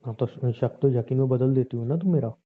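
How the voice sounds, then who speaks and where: The voice comes through a narrow phone line. It belongs to a young man with a middling pitch, chatting casually in an exasperated, scolding way, with a sarcastic edge. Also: a little fast. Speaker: boyfriend on a phone call. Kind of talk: casual couple's phone chat, playful bickering. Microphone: phone call.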